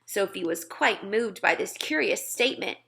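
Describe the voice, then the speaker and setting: A woman's voice: mid-range voice, animated, moderate pace, gravelly, fairly bright tone, slight background noise. A woman narrating a children's storybook aloud in a scripted read-aloud style. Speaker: storybook reader.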